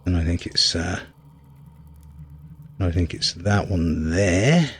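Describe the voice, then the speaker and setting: Man, deep rough-edged voice, casual gameplay commentary, hesitant thinking-aloud delivery. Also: expressive, slow. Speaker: video game reviewer.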